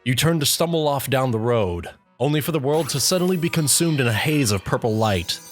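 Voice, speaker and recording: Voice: mid-range voice, animated, brisk. A narrator reading a scripted comic story in the second person, addressing the listener as the main character. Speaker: fanfic narrator. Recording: music behind the voice.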